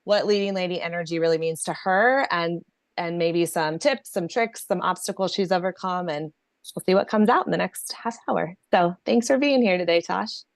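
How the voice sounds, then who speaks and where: A woman's voice: fairly low pitch, normal speed, no echo, studio quality. A woman hosting a podcast, introducing her guest and affirming her with frequent yeses. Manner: warm, affirming, with lots of backchannel yeses. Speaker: podcast host. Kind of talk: casual interview chat.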